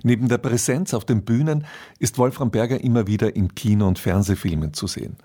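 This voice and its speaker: A man's voice: on the low side, a little mellow, slightly rough. A man presenting a radio play in a scripted introduction.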